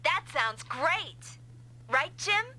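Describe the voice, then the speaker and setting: Very high, girlish young co-pilot. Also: big pitch swings, drawn-out words, sharp tone, exaggerated voice. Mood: admiring. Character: sweet, girlish, slightly clumsy young female co-pilot. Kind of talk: dubbed anime-style video game acting. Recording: vintage console game audio with hum.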